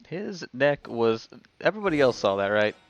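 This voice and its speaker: A man giving casual, wry live commentary as he streams a video game, in a creaky voice. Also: medium pitch, sing-song, moderate pace, highs cut off. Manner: wry, sarcastic.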